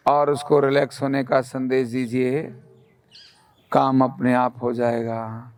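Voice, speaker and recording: A man leading a guided relaxation meditation in a calm, soothing, instructional manner. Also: mid-range voice, no room sound. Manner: calm, soothing, instructional, with gentle repeated commands.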